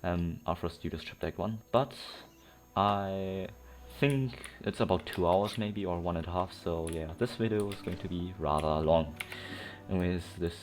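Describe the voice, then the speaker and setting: A man's voice: low-pitched, moderate pace. A man hosting a let's play with casual, unscripted, rambling commentary, often repeating himself. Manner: rambling, self-repeating, informal. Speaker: gaming video host. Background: game music.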